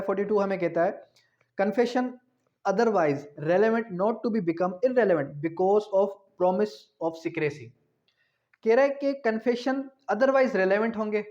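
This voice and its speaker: A man's voice: high voice, darkish tone. A man with a Pakistani accent teaching law, explaining point by point in a didactic way and posing questions that he answers himself. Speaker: law lecturer. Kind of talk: law lecture explaining articles clause by clause. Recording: dull, lo-fi audio.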